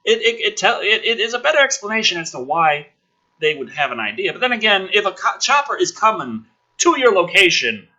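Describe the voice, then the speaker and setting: A man giving exasperated, sweary commentary on a script he is reading aloud, with theatrical outbursts and yelling. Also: high voice, dull audio. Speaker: host reading a film script draft aloud with angry commentary. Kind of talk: ranting commentary mixed with dramatic script reading.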